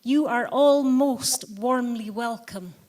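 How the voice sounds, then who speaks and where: A woman with a gentle Scottish Highland accent, chairing a convention and welcoming everyone in a measured public speech. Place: large hall. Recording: long hall reverb.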